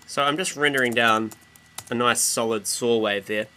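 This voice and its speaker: A man with a New Zealand accent explaining software step by step in an informal, slangy way as a tutorial presenter. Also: mid-range voice, normal speed. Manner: relaxed, casual slangy explaining. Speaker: tutorial presenter and music producer.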